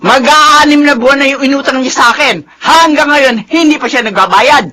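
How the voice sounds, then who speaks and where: Shrill, scolding old creditor woman. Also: normal speed, bright, forceful tone. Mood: angry, scolding. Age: older. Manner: scolding, blunt, sarcastic. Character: angry older woman creditor demanding her money. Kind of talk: radio drama acting.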